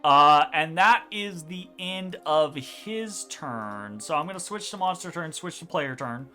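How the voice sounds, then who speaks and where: A man running a tabletop game as game master, casual and animated, swearing freely and trailing off with filler words. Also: a little high, measured pace, faint music behind. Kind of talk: narrating a tabletop game online.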